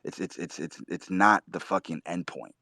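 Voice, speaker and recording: A man hosting a talk show, giving blunt, profane motivational advice in a preachy, forceful way. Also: deep voice, moderate pace, vocal fry, dry recording, studio quality. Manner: forceful, swears constantly, preachy. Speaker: show host.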